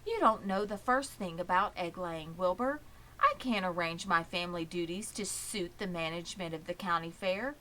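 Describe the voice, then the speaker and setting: A woman voicing a sensible female storybook spider who is brisk, precise, firm and matter-of-fact. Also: fairly low pitch, fairly bright tone, natural voice, low-quality recording. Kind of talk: storybook character reading.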